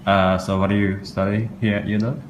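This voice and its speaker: Low-voiced young man interviewing in echoey room. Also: little pitch movement, a little fast. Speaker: student interviewer. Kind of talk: casual interview. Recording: roomy echo, clear background noise.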